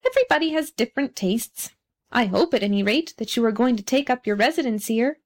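A woman's voice: on the high side, very animated, a little fast. A woman voicing a placid, practical female duck from a storybook, with a peaceable, matter-of-fact manner. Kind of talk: storybook character reading.

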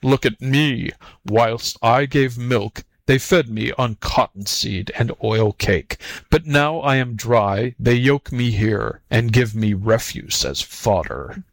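Man playing a slow, bitter, weary buffalo cow. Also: medium pitch, no echo. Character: bitter, worn-out female buffalo, dry and yoked. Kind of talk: storybook character voice.

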